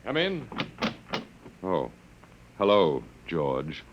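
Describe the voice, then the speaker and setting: A man's voice: sits low, huge pitch swings, very slow, soft background music, heavily acted. An actor playing a wry, resigned man held captive in a 1950s radio drama, sardonic and at times hesitant. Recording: dull vintage radio recording.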